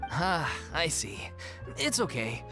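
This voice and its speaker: Game troupe leader: earnest and dejected, resigned delivery with wide pitch swings, over music. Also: male voice, wildly swooping pitch, takes it slowly, full character voice. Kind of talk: video game voice acting.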